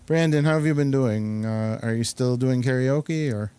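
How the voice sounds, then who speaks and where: A man hosting a casual interview show, speaking in a halting, hesitant manner. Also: mid-range voice, dark tone, clean tone, no echo. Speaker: talk show host.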